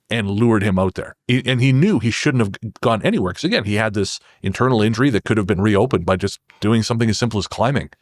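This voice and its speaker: A man's voice: lowish voice, no room sound, studio quality. A man speaking as a podcast guest, telling personal stories in a casual, reflective and wry way. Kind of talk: casual conversational storytelling.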